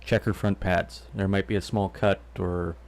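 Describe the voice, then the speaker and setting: A man's voice: deep voice, little pitch movement, moderate pace, a little mellow. A man co-hosting a live painting stream, reading viewer questions and chatting in a teasing way, laughing easily.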